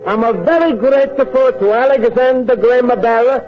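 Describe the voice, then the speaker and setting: A naive Italian immigrant with a thick accent and a high voice. He speaks earnest broken English in the manner of a vintage radio comedy. The sound is dull and narrow, like an old broadcast. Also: normal speed. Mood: grateful, earnest. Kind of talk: 1940s American radio comedy acting.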